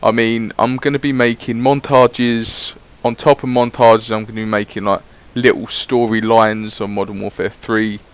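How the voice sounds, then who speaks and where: A man's voice: on the low side, level tone, moderate pace, hardly any pauses, clean tone. A man giving casual, rambling voice-over commentary on his gameplay, swearing freely as he chats. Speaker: gaming YouTuber.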